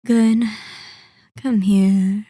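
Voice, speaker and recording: A woman voicing a centuries-old, sadistic and possessive vampire in an intimate ASMR roleplay, speaking in a deliberate, taunting, commanding manner. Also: mid-range voice, fairly even intonation, warm tone, slightly rough, no room sound. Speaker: audio roleplay performer.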